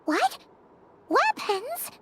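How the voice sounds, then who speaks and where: A woman voicing a small, excitable fairy-like girl companion in an anime-style video game, squeaky, childlike and eager. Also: drawn-out words, background noise, heavily acted. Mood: shocked. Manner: eager, exclamatory.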